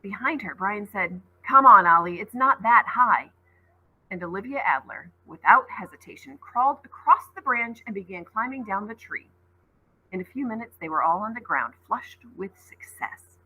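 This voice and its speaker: A woman teacher reading a novel aloud to students, expressive, stopping for short explanatory asides. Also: mid-range voice, moderate pace, slightly rough, narrow, tinny audio. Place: school classroom.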